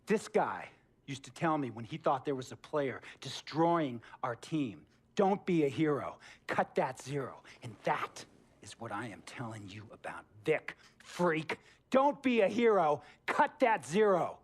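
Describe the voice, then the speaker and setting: A bossy billionaire team owner. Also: male voice, on the high side, big pitch swings, unhurried, slightly rough, full character voice. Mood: stern. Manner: bossy lecturing with sudden loud outbursts. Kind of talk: video game cutscene dialogue.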